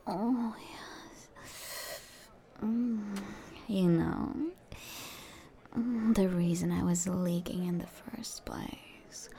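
A woman whispers close to the mic in an intimate ASMR roleplay. Her pace is very slow and unhurried, her tone mellow and dark, with a gravelly fry under the breath. Soft hums fill the gaps between her coaxing phrases. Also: lowish voice.

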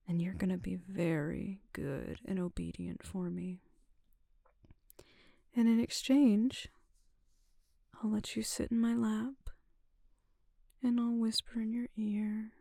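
Slow, gravelly whispering woman. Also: mid-range voice, dry recording, studio quality. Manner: soft teasing whisper, drawn out. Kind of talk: ASMR roleplay.

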